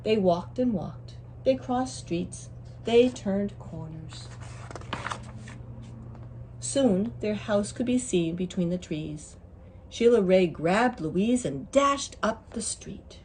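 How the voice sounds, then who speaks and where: A woman reading a picture book aloud to young children in an expressive, deliberate way. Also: medium pitch, a little hiss or hum, low-quality recording. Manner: deliberate, pausing to ask listeners questions. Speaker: children's story reader.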